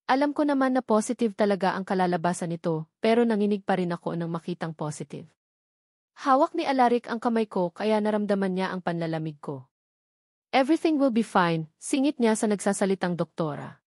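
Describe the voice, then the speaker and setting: A woman's voice: medium pitch, expressive, a little fast, darkish tone, no room sound, studio quality. A woman with a Filipino accent reading a scripted first-person novel as its narrator. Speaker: story narrator.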